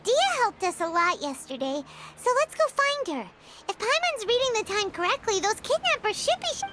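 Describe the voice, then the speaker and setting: A woman voicing a tiny, childlike, excitable fairy companion in an anime video game, exclamatory and dramatic. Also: extremely high pitch, moderate pace, heavy background noise. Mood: hopeful. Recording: background music behind the voice.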